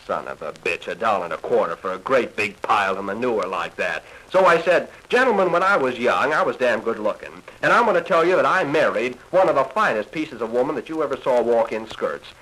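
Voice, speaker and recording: Male American comic monologist, 1940s party record. Bright, forceful delivery, lively pitch, folksy and crude. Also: fairly quick, few pauses, low-quality recording. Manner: folksy, crude, conversational storytelling. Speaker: comedian telling bawdy stories. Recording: old 1940s 78 rpm record.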